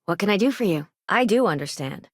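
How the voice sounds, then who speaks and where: A woman's voice: medium pitch, expressive, brisk, dry recording. An actress playing a no-nonsense, weary but caring woman doctor in a video game, speaking in a dry, conversational way. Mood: measured, wry. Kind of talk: scripted game dialogue lines.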